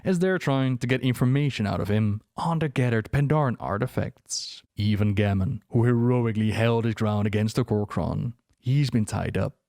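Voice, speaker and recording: A man's voice: lowish voice, normal speed, hardly any pauses, darkish tone, dry recording. A man narrating scripted lore as a dramatic storyteller, close to the mic. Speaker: video narrator.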